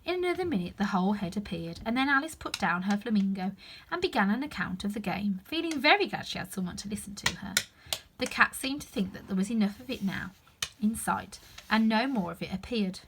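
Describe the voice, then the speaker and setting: A woman narrating a children's story aloud in an expressive, dramatic storytelling style. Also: medium pitch, fairly quick, noisy background. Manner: animated, dramatic. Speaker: storyteller reading a children's book.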